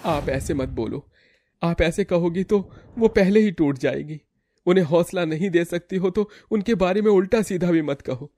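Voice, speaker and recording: A man's voice: sits high, normal speed, dark tone, no echo. A man voicing an earnest, troubled young son, protective of his family, in a cartoon story. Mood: firm, protective.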